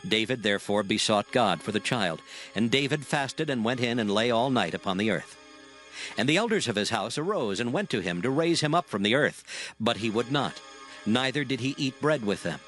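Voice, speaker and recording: A male narrator reads scripture for an audio drama. His voice is mid-pitched and solemn, the delivery measured and scripted, with music playing clearly behind him. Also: lively intonation, a bit bright.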